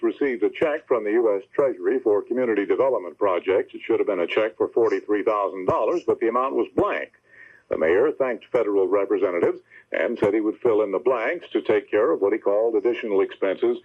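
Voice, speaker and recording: A man's voice: lowish voice, husky. A man reading scripted news as a radio anchor. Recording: muffled, narrow-band old radio recording.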